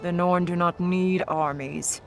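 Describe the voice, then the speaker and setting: A woman's voice: on the low side, steady tone, unhurried. A solemn northern-fantasy warrior heroine. She speaks in grave, formal declarations, proud and unbending, as in a video game cutscene with prominent music underneath. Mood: proud, firm. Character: proud, solemn Norn warrior woman seeking redemption.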